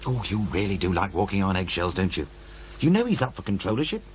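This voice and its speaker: A male radio producer in an audio drama, wry and needling. He muses and insinuates, with a lively, swinging intonation. A faint hum sits behind the voice. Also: deep voice, fast. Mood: wry, cautioning.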